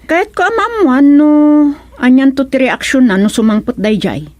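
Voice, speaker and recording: Close, dry-sounding complaining Ilocano village wife. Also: female voice, high voice, very animated, moderate pace, darkish tone, no room sound. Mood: confiding, worried. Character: complaining married village woman, touchy about relatives' expectations. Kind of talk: Ilocano radio comedy drama acting.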